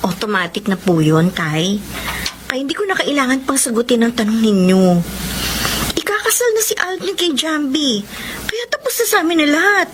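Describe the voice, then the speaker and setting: A woman's voice: sits high, very animated, normal speed, background noise. A woman acting a heartbroken, resigned young daughter in a radio drama, with a Filipino accent. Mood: hurt, resigned. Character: heartbroken, dutiful young daughter, resigned to losing her love.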